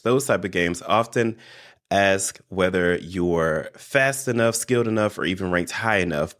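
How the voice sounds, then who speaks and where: A man's voice: low voice, a bit bright. A man hosting a casual solo podcast, congested from allergies and a little nasal, chatting directly to his listeners. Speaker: podcast host.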